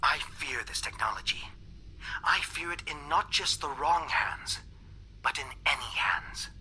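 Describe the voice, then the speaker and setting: An actor playing a fearful dissident alien scientist in a game cutscene, speaking in a measured, grave manner. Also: male voice, fairly high pitch, sharp tone, slight background noise, full character voice, poor audio.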